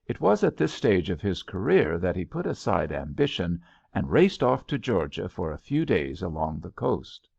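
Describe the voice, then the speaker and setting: Male narrator for literary audiobook. Animated intonation, creaky low voice, expressive measured phrasing, slightly muffled recording. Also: dry recording. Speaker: audiobook reader.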